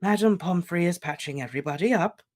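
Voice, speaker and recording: A reader voicing an old male headmaster wizard, speaking in a calm, measured, gentle way. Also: mid-range voice, pitched above the performer's own voice, close to an everyday voice. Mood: serene. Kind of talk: audiobook character reading.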